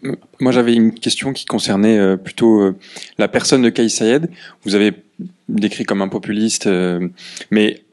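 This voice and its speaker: A man in the audience asking a question at a public talk, halting with many fillers. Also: on the low side, steady tone, a little mellow.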